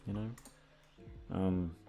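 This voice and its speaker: Low, slow-talking young man with gravelly fry. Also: fairly even intonation, narrow, tinny audio, soft background music. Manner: aimless, halting, profane, self-deprecating. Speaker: audio voice actor, channel host. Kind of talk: rambling casual outro chat.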